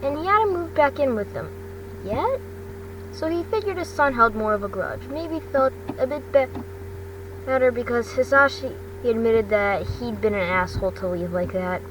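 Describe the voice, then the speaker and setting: A woman's voice: a little high, normal speed. A woman reading a story aloud as an amateur narrator, stumbling and repeating phrases as she goes. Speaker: fan fiction narrator. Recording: distant mic, noisy background hum.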